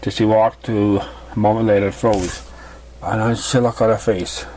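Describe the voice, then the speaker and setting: A man's voice: lowish voice, level tone, normal speed, darkish tone, a little hiss or hum, low-quality recording. A man narrating a fan fiction story as an amateur reader, stumbling over words in an uneven read. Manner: stumbling, uneven reading with misreadings.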